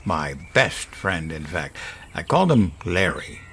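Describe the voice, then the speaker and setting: Elderly grandfather, horror audio drama. Deepish, very slow, wistful musing with sighs, chuckles and quiet menace. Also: big pitch swings, slight background noise, exaggerated voice. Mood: haunted, ominous. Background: music.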